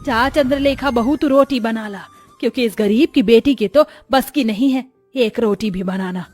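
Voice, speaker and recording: A woman's voice: on the high side, normal speed, a little mellow, soft background music, lightly acted. A woman voicing a domineering middle-aged mother-in-law with an emphatic, judging manner in a Hindi cartoon moral story. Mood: scornful.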